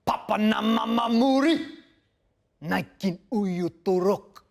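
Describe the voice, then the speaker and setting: A man's voice: high voice, slow pace, vocal fry, exaggerated voice. A man voicing a huge, hairy, childlike forest beast who mutters in broken pidgin and has a volatile temper. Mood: raging.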